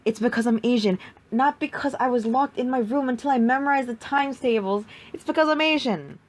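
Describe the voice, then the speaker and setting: A woman's voice: a little high, a little fast. A woman making a pointed personal statement, repeating phrases for emphasis.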